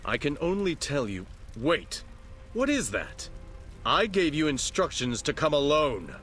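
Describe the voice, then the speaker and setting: An actor playing a guarded, world-weary martial arts master in a video game cutscene, conversational and earnest. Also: male voice, fairly high pitch, sing-song, a little slow, a bit bright. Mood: wary, stern. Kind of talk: dramatic game cutscene acting.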